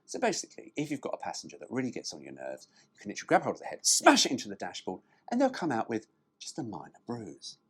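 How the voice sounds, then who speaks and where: Man with wildly swooping, slightly husky delivery. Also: mid-range voice. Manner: cheeky, irreverent, sweary. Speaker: presenter. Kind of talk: reading a car brochure aloud with joking asides.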